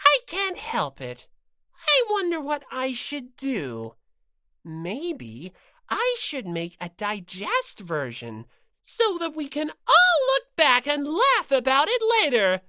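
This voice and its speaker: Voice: sits high, wildly swooping pitch, takes it slowly, sharp tone, dry recording, studio quality, pitched above the performer's own voice, full character voice. An audiobook reader voicing a gleefully cruel, vain, bossy bear mascot villain with mocking, theatrical delivery. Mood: smug scheming. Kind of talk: character voice in fan audiobook.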